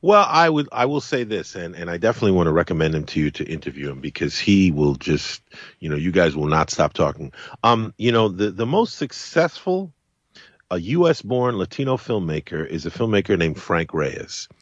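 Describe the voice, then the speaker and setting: Lively-voiced man in dry recording. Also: deep voice, normal speed, slightly rough, no echo, muffled recording. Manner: emphatic, repeats phrases for stress. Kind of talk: casual chat.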